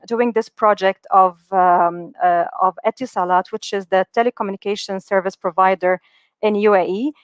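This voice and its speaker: A woman's voice: mid-range voice, clean tone, dry recording. A woman in her thirties, raised in the UAE, giving an earnest motivational webinar talk with many fillers and restarts. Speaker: speaker.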